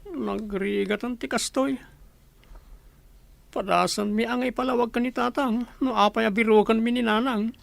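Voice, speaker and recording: A woman's voice: a little high, fairly even intonation, moderate pace, vocal fry, no echo. A woman playing a burdened, earnest younger sibling in a radio drama, speaking plaintively.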